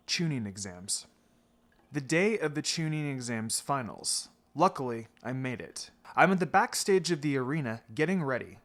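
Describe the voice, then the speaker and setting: A man's voice: on the high side, fairly bright tone. A man reading fan fiction aloud as a dramatic, theatrical narrator who acts out the dialogue. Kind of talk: dramatic scripted narration of a story. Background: background music.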